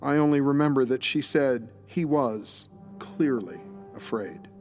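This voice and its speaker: A man's voice: mid-range voice, expressive, measured pace, a little mellow, soft background music. A man narrating a scripted dramatic story as its narrator.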